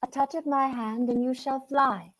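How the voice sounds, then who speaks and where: A woman voicing a solemn, gentle, ancient spirit in child form for a live dramatic reading, measured and formal. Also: high voice, fairly even intonation. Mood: solemn, commanding.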